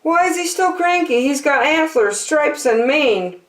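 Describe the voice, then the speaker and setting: A proud storybook lion put on by a woman gives opinions emphatically. The voice is self-assured and very animated in pitch, heard in a roomy space. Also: a little slow. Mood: puzzled. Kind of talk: picture book read-aloud.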